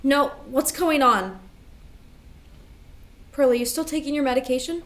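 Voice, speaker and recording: Actress as worried, high-voiced older sister. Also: female voice, unhurried, a little hiss or hum. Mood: worried, urgent. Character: Rose, worried, motherly, insistent older sister. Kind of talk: staged reading of a play.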